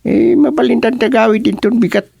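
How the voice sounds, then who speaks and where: A man's voice: very high-pitched, a little fast, gravelly, darkish tone, husky, dry recording, studio quality, full character voice. A man playing a chatty townsman in an Ilocano radio comedy drama, speaking with an Ilocano accent.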